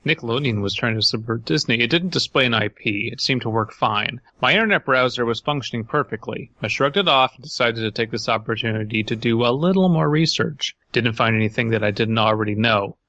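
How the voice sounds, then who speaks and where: Bright-voiced man, dry slightly dull recording. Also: medium pitch, lively intonation, brisk, few pauses, no room sound. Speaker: podcast host. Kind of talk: casual banter and reading a story aloud.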